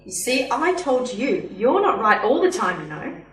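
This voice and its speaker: A woman as pert niece, high and echoey. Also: sing-song, moderate pace, distant mic. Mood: teasing. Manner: pert, questioning. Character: teasing, sceptical niece and travelling companion. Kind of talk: amateur sci-fi radio play. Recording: echoey, off-mic, faint music.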